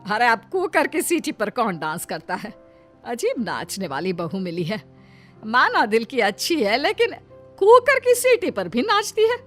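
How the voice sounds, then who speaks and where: Woman doing a fussy older saas in a cartoon, complains and exclaims, bit high, music behind. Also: sing-song, a little slow, a bit bright, heavily acted. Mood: exasperated scolding. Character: grumbling older mother-in-law, alarmed and scolding.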